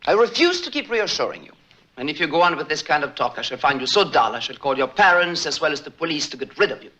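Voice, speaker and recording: A man's voice: on the high side, big pitch swings, quick pace, pushed and bright, exaggerated voice, poor audio. An actor playing a stern, formal man who scolds two children. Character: stern, imperious older gentleman scolding children.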